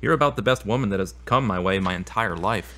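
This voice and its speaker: Earnest, troubled boyfriend played by a man, bright forceful voice. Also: lowish voice, fast talker. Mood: contrite. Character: earnest troubled boyfriend admitting his lies and anger. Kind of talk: audio drama dialogue.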